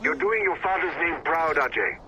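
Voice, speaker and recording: A man's voice: on the high side, expressive, normal speed, pushed and bright, lo-fi sound, slight background noise. An actor playing an earnest, persuasive male rebel commander in a video game cutscene, speaking with solemn appeal. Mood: warm persuasion. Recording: music behind the voice.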